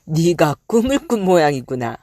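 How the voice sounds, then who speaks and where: Woman as a low-voiced musing father. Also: big pitch swings, moderate pace, dark tone, no room sound, clean studio sound. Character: calm, musing adult father.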